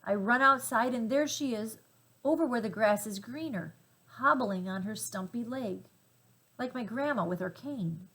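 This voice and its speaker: Woman, children's story reader, expressive, deliberate phrasing, gentle delivery. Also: a little high, husky, rough recording.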